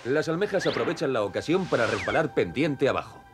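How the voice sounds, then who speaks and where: A man's voice: medium pitch, sing-song, fairly quick, over music. A man narrating a children's cartoon in a scripted storytelling style.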